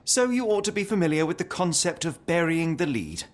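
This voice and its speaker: Arrogant detective, game cutscene, brusque, articulate, restless, lively higher-pitched delivery. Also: male voice, brisk. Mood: impatient. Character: sharp, arrogant, restless young male consulting detective. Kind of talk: video game cutscene acting.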